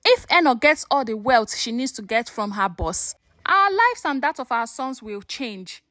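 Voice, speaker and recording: A woman storyteller playing a stern, cold elderly patriarch with a deliberate, threatening manner. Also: on the high side, big pitch swings, bright, forceful tone, dry recording, studio quality, full character voice. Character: cold, menacing elderly patriarch guarding family interests.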